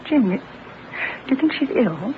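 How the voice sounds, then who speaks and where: A woman's voice: high voice, big pitch swings, slow pace, full character voice. An actress playing an anxious, proper young British mother in a radio drama, earnest and fretful. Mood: worried. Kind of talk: radio drama dialogue and first-person narration. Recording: lo-fi radio recording, clear background noise.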